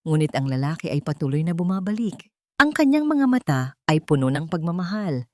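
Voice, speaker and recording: Low-voiced woman narrating, dry close sound. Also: big pitch swings, brisk, no echo. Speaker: storyteller. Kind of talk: scripted romance story narration.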